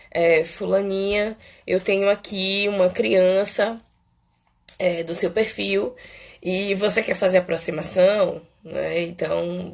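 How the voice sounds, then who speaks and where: A woman from Salvador, a psychologist hosting a casual live Q&A, hoarse and stuffy from a cold, chatty and peppering her talk with "né". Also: medium pitch. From Salvador, Bahia, Brazil. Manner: chatty, fills pauses with "né" and "é". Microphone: phone.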